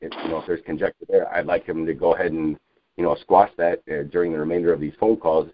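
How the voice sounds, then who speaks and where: A man talking informally about politics as an activist on a phone conference call, rambling and insistent, with halting, repetitive phrasing. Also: deep voice, fairly even intonation. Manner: halting, repetitive, insistent, peppered with you know. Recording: muffled narrowband phone conference line.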